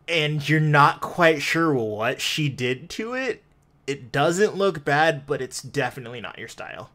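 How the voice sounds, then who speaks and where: A man's voice: on the high side, lively intonation, a little slow, a bit bright. A man narrating a tabletop roleplaying game as game master in a casual, conversational way.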